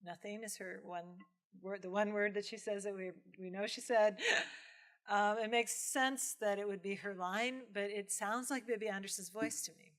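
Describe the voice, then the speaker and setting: A woman's voice: mid-range voice, moderate pace. A woman speaking as a professor in an informal lecture question-and-answer, talking conversationally with acted-out asides and checking in with listeners. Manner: conversational, animated retelling with acted-out asides and frequent "right?". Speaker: professor giving a film lecture and taking audience questions. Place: film screening lecture venue with audience.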